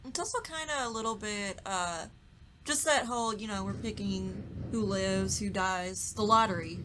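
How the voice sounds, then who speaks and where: A woman's voice: mid-range voice, moderate pace, background noise. A woman who works as a voice actor, chatting casually on a podcast panel. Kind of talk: casual chat.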